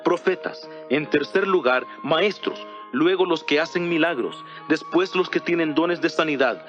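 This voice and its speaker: An earnest apostle addresses his church in a slightly high male voice. He speaks in solemn, measured phrases with rhetorical weight, as in an audio Bible drama. Music plays softly behind him. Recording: voice over background music.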